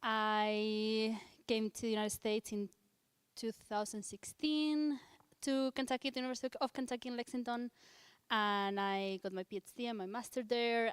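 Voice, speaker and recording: Spanish-born woman, dry and clear. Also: medium pitch, dry recording. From Spain. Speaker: assistant professor. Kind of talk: self-introduction on a panel.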